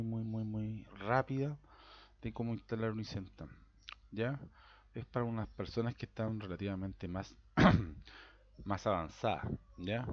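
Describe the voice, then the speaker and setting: A Chilean man with a Chilean Spanish accent, walking through a software setup in a casual screen-recorded tutorial, rambling and checking in with a constant "¿ya?". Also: on the low side. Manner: rambling, repeats words, tags "¿ya?" constantly. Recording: dull lo-fi sound.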